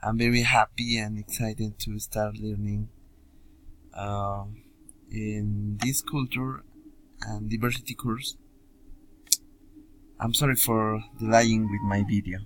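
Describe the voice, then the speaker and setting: A young man from Peru with a Peruvian Spanish accent, introducing himself informally as a student in hesitant learner English with frequent pauses. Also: lowish voice, flat delivery, a little hiss or hum, poor audio. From Puno, Peru. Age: young adult. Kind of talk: informal self-introduction video.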